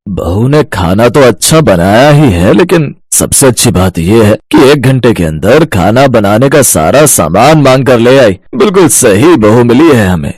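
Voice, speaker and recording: A man plays a genial village man in a storybook tale. He speaks at a middling pitch with an approving manner, in a close, dry recording. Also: expressive, a little slow, a little mellow, slightly rough, no echo. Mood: pleased, welcoming.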